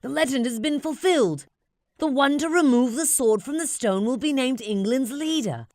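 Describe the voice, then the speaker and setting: A woman's voice: high-pitched, normal speed, darkish tone, no room sound, clean studio sound, pitched above the performer's own voice, lightly acted. A woman voicing an astonished man making a grand proclamation in a children's storybook. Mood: awestruck.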